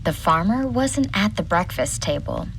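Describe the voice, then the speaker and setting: An actress playing a shaken, wary woman doctor confiding a first-person audio drama diary in a measured way. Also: mid-range voice, lively intonation, fairly bright tone, slight background noise, poor audio. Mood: reflective. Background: prominent music under the voice.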